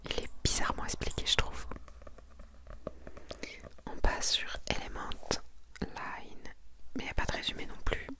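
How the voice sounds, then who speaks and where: A woman speaking in a soft, breathy ASMR whisper, hushed and intimate, presenting and reading aloud in a casual way. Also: measured pace, pauses often. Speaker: ASMR creator presenting a manga collection.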